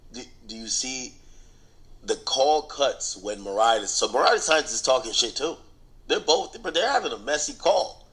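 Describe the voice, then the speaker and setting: A man's voice: medium pitch, bright, forceful tone. A man giving casual, slangy commentary on celebrity gossip, bluntly and with repeated phrases for emphasis. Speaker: online commentator and host.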